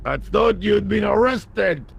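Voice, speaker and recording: Game worker: blustering, scornful labourer, high creaky voice, music in the background. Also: male voice, expressive, slow pace, a little hiss or hum, exaggerated voice. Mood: chatty, scornful. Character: cocky, gruff, work-shy Parisian workman. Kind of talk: video game dialogue acting.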